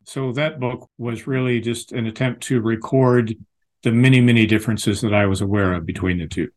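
An American man, a lexicographer and author, giving thoughtful, measured interview answers with frequent restarts. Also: medium pitch, fairly even intonation. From United States.

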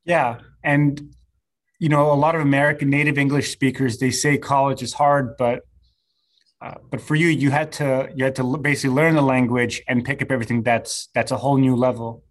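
A man's voice: mid-range voice, little pitch movement, brisk. A man whose first language is Serbo-Croatian, interviewing a guest in a chatty way with lots of fillers. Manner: friendly, chatty, many fillers. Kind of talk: casual interview.

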